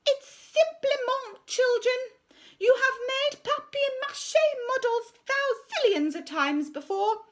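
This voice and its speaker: A woman's voice: very high-pitched, animated, slow, pitched above the performer's own voice, full character voice. A woman voicing a vain, pompous female art teacher who mangles fancy words and adds fake French flourishes. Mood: bossy.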